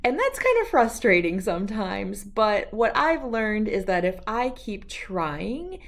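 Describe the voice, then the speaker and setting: A woman reading a children's storybook aloud with expressive, deliberate, emphatic phrasing. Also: mid-range voice, vocal fry. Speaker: children's book read-aloud host.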